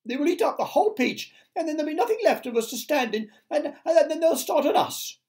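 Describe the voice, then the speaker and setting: Storybook lady spider played by a man, shrill-high, fretful, pleading, dramatic, very animated. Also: brisk, gravelly, recorded from a distance, heavily acted. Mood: panicked. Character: fretful female spider, dramatic and easily panicked.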